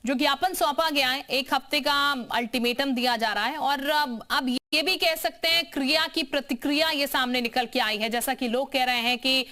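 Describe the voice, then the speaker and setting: A woman's voice: sits high, moderate pace, hardly any pauses, bright, forceful tone. A woman television news anchor moderating a live debate and putting questions to guests.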